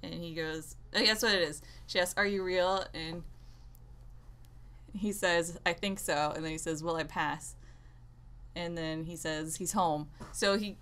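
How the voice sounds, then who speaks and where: A woman's voice: lowish voice, sharp tone. A woman gaming creator ranting casually and off the cuff, exasperated and rambling, often interrupting herself. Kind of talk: unscripted opinionated rant to viewers.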